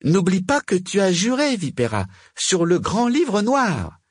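Guy doing an old storybook queen, high voice, sly n teasing, really sure of herself. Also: wildly swooping pitch, measured pace, dry recording, clean studio sound, heavily acted. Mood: triumphant.

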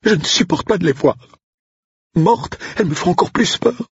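A man putting on a shrill, panicky woman cook. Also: lively intonation, normal speed, husky, no room sound, dull audio, full character voice. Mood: distraught. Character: frightened, tearful woman cook.

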